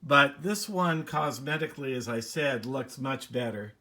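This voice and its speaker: A man giving a casual, unhurried, rambling demonstration as a hobbyist presenting a video. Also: mid-range voice, expressive, sharp tone.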